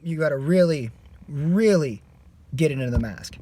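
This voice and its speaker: A man's voice: fairly high pitch, lively intonation, normal speed, very noisy. A man teaching a singing lesson. He is losing his voice and stops his explanation to demonstrate screams. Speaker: vocal coach.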